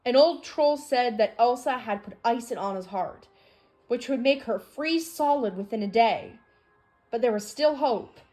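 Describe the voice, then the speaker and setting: Higher-voiced woman reading a storybook over music. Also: expressive, unhurried, darkish tone, rough recording. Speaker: storybook reader. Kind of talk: read-aloud of a children's storybook. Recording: faint music behind the voice.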